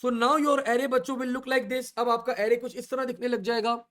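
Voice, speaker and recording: A man teaching an online coding class, asking the class questions and answering them himself as he steps slowly through each value. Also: very high-pitched, level tone, fairly bright tone. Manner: slow and repetitive, stepping through values. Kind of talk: online coding lesson in mixed Urdu and English.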